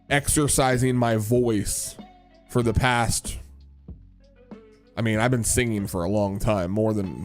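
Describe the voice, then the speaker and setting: Guy streamer chatting with viewers, rambly, restarts a lot, mid pitch, some music low behind. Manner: rambling, restarts words, answers chat. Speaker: livestream host and worship leader. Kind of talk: casual livestream chat.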